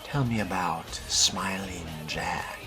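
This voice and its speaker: Guy doing a slow deep fledgling vampire, dry and sardonic game roleplay, music in back. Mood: measured. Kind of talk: in-character role-play of a video game.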